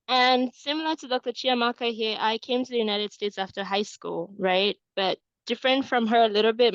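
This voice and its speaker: A woman's voice: medium pitch, a bit bright, dry recording. Woman from Cameroon, thirties, webinar presenter, tag questions, dry muffled call audio. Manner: peppers points with tag question "right?". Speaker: webinar presenter and admissions coach.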